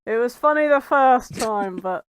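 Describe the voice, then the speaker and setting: A woman's voice: on the high side, lively intonation, a little slow, slight background noise. A woman with a London-influenced English accent chats casually as a podcast co-host, joking as she tells little stories. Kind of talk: casual chat.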